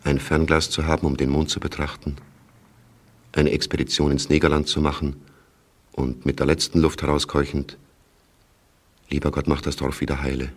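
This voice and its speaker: A man narrating a radio play as a sober chronicler of wartime events, measured and factual. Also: a bass voice, flat delivery. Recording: clear background noise.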